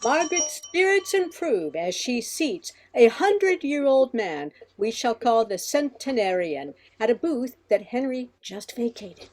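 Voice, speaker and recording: A female storyteller, read by a woman, narrates a radio comedy in a high-pitched voice. Her intonation is very animated as she sets each scene like stage directions. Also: unhurried, hardly any pauses, slight background noise. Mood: narrating. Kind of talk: scripted narration of a radio play.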